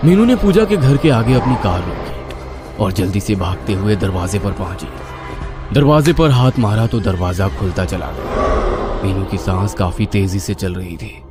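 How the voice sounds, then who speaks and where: A man's voice: lowish voice, animated, normal speed. A man narrating a scripted horror story in a dramatic storytelling style. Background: clear background music.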